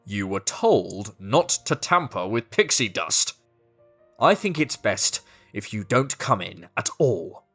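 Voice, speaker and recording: A woman voicing a stern, disappointed male fairy overseer in a storybook, with a grave, measured scolding manner. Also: rumbling low, sing-song, breathy edge, soft background music, pitched below the performer's own voice, full character voice. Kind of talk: storybook character voice.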